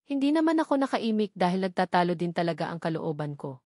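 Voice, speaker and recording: A woman's voice: fairly low pitch, very animated, quick pace, a little mellow, no room sound, studio quality. A woman with a Filipino accent reading a first-person romance novel as scripted narration. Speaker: audiobook narrator.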